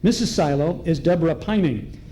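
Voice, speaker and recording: A man's voice: on the high side, animated, brisk, warm tone, rough recording. A man speaking as master of ceremonies, introducing performers to a live audience in a large ballroom. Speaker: event host and master of ceremonies. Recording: live ballroom recording with background noise.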